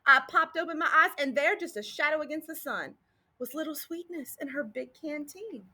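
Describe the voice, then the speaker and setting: A woman's voice: high voice, moderate pace, sharp tone. A woman voicing a boastful, dim-witted cowboy sheriff in folksy American Old West dialect, telling a tale with exaggerated drawling delivery. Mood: folksy storytelling.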